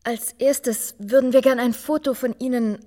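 A woman's voice: on the high side, fairly even intonation, normal speed, dark tone, close to an everyday voice. An actress playing a curious, frank woman reporter in a radio drama, heard in a reverberant room with faint noise. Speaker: journalist.

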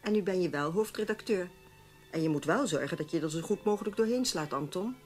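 Actress as a frank, shrewd middle-aged female confidante, lowish voice, knowing delivery, radio play with music behind. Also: lively intonation, brisk, a little mellow, lightly acted. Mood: shrewd, advising. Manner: knowing, advisory. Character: shrewd, frank former newspaper secretary and trusted confidante. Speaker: actor. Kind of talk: radio drama dialogue.

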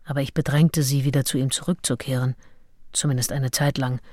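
A woman's voice: very deep voice, little pitch movement, a little fast, dark tone, no echo, studio quality. An actress playing a passionate, self-questioning Englishwoman of the early 1800s who confides her diary in an intimate, introspective way in a radio play. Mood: confiding. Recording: music behind the voice. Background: music with drums.

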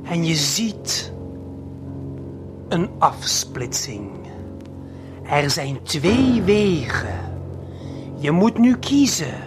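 A man narrates a surreal audio play straight to the listener, with intimate, deliberate, hypnotic phrasing. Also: fairly high pitch. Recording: music under the voice. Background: music.

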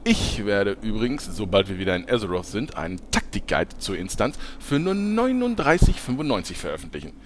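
A man's voice: lowish voice, very animated, fairly quick, hardly any pauses, slight background noise. A man playing an eager, self-promoting, servile reporter in parody audio drama, with formal, obsequious commentary. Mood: officious.

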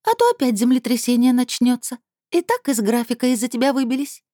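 A woman reader voicing a playful, coaxing female being with a soft, enveloping mezzo voice in a storybook. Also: a little high, big pitch swings, brisk, dry recording, studio quality, pitched above the performer's own voice. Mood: soothing. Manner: purring, teasing, coaxing.

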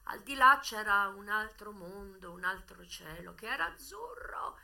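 A woman giving an expressive, theatrical first-person narration of a memoir, moving between hushed and emphatic delivery. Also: medium pitch, measured pace, vocal fry.

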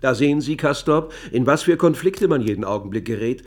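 Blustery, husky man acting a sanatorium chief physician. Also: medium pitch, lively intonation, fairly quick, a little mellow, natural voice. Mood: exasperated. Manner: blustering, colloquial, wryly grumbling. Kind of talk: German radio play acting.

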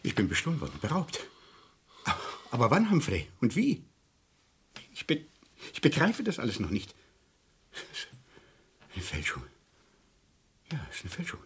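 A man's voice: mid-range voice, wildly swooping pitch, a little slow, long pauses, a bit bright, slightly rough, exaggerated voice. An actor playing an anxious, courteous older colonel in a German crime radio play, hesitant and agitated, repeating his words. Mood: shocked, agitated. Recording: noisy vintage radio drama recording.

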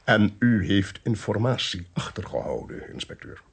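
An actor playing a smug, sly, domineering government investigator in a Dutch radio play, pompous and precise. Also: male voice, on the low side, very animated, normal speed, vocal fry, slightly rough, full character voice. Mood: stern, commanding.

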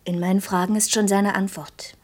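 A woman's voice: mid-range voice, little pitch movement, normal speed, close to an everyday voice. A young actress playing a proud, outspoken young working woman in an intimate German radio drama, earnest and reproachful. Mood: tender, hushed. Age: young adult. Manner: earnest, reproachful, shifting between insistent outbursts and hushed confiding.

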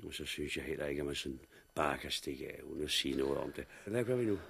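An actor playing a stoic blind war veteran in a 1960s radio drama, talking with dry gallows humour and matter-of-fact calm. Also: male voice, a bass voice, vocal fry, breathy edge, exaggerated voice. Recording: old radio recording with faint hiss.

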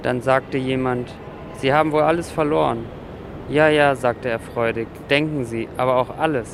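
A man's voice: fairly even intonation, moderate pace, vocal fry. A man speaking in a reflective, literary style. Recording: noisy outdoor urban ambience, traffic rumble.

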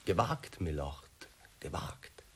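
An actor playing an obsequious, wily manservant in a radio play, with a theatrical, deferential manner. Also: male voice, deep voice, animated, slow pace, breathy edge, exaggerated voice. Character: obsequious male servant, wily accomplice of a lord. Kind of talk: radio drama acting.

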